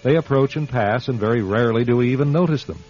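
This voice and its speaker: A man hosting a classic radio drama, narrating from a script in a measured, solemn storyteller's delivery. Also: medium pitch, a little mellow. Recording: dull old radio recording.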